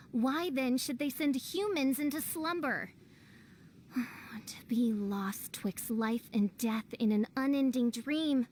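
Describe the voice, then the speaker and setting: A self-styled young princess in a video game. Her high voice moves slowly and grandly through archaic, melodramatic phrases, over faint ambience. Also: a little mellow, a little hiss or hum. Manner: pompous, archaic, melodramatic diction. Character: theatrical, grandiose teenage girl posing as royalty.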